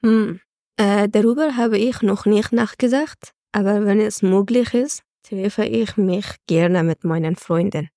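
Woman podcast host, mellow tone, dry sound, prepared talk. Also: mid-range voice, measured pace, no room sound.

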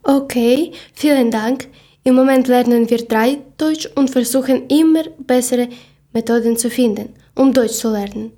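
A woman's voice: fairly high pitch, normal speed, warm tone. A woman from Ukraine, hosting a podcast and carefully reading her lines from a script. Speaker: podcast host.

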